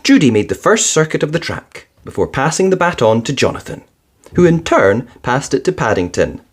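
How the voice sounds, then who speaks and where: A storyteller giving an expressive children's read-aloud narration. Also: medium pitch, moderate pace, husky.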